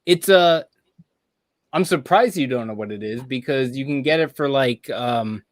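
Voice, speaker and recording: A man's voice: mid-range voice, moderate pace, no room sound. Chill guy joking around on a video call over beers, laughs a lot, dry close sound. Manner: laid-back, jokey, laughs easily. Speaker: podcast host. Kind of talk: casual chat over beers. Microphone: computer mic without headphones, video call.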